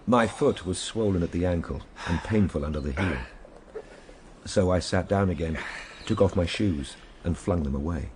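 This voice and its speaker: A man plays a reflective Victorian gentleman narrating his adventure in a radio drama. His voice is very low, with measured, formal and grave phrasing, over faint background noise. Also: lightly acted. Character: Time Traveller recounting his ordeal, reflective Victorian gentleman.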